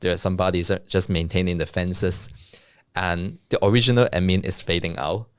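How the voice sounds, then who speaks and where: A man's voice: low-pitched, steady tone, fairly quick, smooth, no room sound. A young man from Hong Kong, a native Cantonese speaker with a Hong Kong accent, describes his work as a guest in an interview, casually and with frequent laughs. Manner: laughs often, casual and self-deprecating. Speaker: guest, software developer and co-founder.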